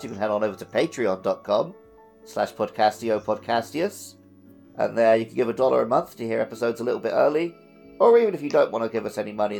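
A man co-hosts a gaming podcast with very lively intonation and a creaky edge to his voice. His delivery is informal and jokey, with music playing behind him. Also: fairly low pitch. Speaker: podcast co-host. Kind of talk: casual gaming podcast chat.

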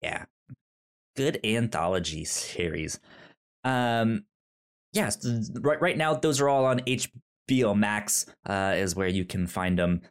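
A man hosting a casual podcast chat, talking in a halting way with many fillers and stammered restarts. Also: on the low side, animated, clean tone. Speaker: podcast host.

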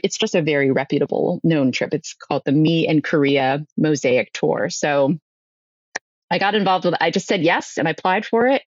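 A woman's voice: low-pitched, no room sound, highs cut off. A woman telling a personal story as a podcast guest, conversationally, often acting out bits of dialogue.

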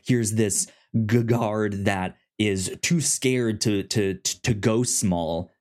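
A man's voice: on the low side, a little mellow. A young man co-hosting a casual podcast about comics, with lots of stammered restarts and fillers. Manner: halting, many restarts and fillers. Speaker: podcast co-host. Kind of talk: casual comic-book discussion.

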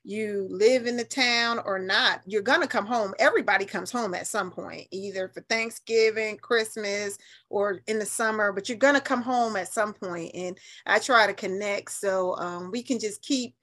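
A woman's voice: mid-range voice, normal speed, a bit bright. A middle-aged American woman from New Haven talking in a chatty, colloquial, emphatic way as an interview guest, telling stories. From New Haven, United States. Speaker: radio interview guest, nonprofit leader. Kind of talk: animated conversational interview.

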